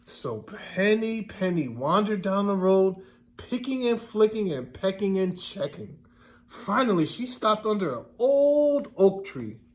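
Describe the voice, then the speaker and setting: Male storybook reader: high pitch, lively intonation, expressive, explanatory classroom delivery. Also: measured pace. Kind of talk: children's read-aloud narration with questions to listeners.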